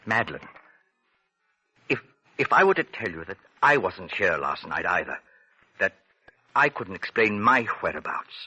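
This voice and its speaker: An actor playing a devoted, anxious gentleman suitor in a 1940s radio melodrama, earnest and agitated. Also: deep voice, big pitch swings, normal speed, pauses often, slightly rough, full character voice. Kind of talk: 1940s radio drama acting. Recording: old lo-fi 1940s radio recording.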